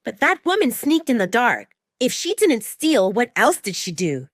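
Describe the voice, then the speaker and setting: A woman's voice: fairly high pitch, very animated, moderate pace, no room sound, studio quality, pitched above the performer's own voice. A woman voicing a whiny, spoiled young she-wolf daughter in an audio drama, pouting and exaggerated. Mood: indignant.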